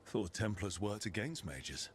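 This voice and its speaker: An actor playing a resolute, caring young adventurer who leads a team in a video game, speaking earnestly and directly. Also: male voice, on the low side, expressive, a little slow, a little hiss or hum. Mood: businesslike, determined. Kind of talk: video game cutscene acting.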